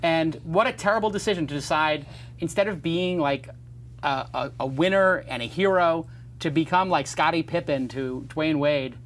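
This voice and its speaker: A quick, joking male host steers the talk and reads sponsor spots with breezy confidence. His voice sits a bit high. A faint electrical hum runs underneath. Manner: fast-talking, opinionated, joking. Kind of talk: casual chat and ad reads.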